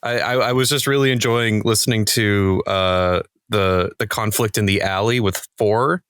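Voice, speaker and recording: A man's voice: fairly low pitch, moderate pace, a bit bright, smooth, no room sound, clean studio sound. A man chatting casually as a member of a podcast cast, recalling moments with his fellow players. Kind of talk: casual chat.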